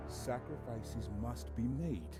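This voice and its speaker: An actor playing a stern, pragmatic commander in a video game, speaking with urgent authority. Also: male voice, medium pitch, takes it slowly, in a large hall, over music, lightly acted.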